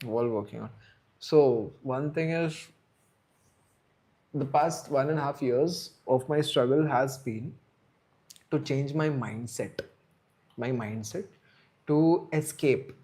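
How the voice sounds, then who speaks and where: A man's voice: mid-range voice, a little mellow. A man, chatting casually on a live stream, rambling and answering viewers' chat. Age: 30s. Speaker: livestream host.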